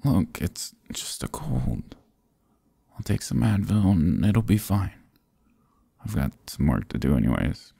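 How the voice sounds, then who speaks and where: A man voicing a stubborn but affectionate sick young boyfriend in an intimate ASMR roleplay, soft, husky and drowsy, murmuring close to the mic. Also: low voice, sing-song, measured pace, no echo, full character voice. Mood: reluctant, reassuring. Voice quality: husky, gravelly, breathy. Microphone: close, dry microphone.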